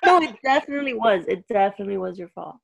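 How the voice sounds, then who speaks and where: Young woman podcast cohost, super gravelly husky voice, kinda muffled lo-fi sound, trails off a lot. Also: medium pitch, lively intonation. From United States. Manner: quick, hesitant, drops half-finished thoughts. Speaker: podcast co-host. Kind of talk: casual podcast chat.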